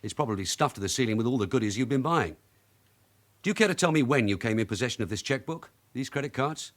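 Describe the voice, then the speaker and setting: A man acting in a radio drama as a shrewd veteran police sergeant with a London accent and a dry, brisk manner. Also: mid-range voice, lively intonation, close to an everyday voice. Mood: firm, sardonic.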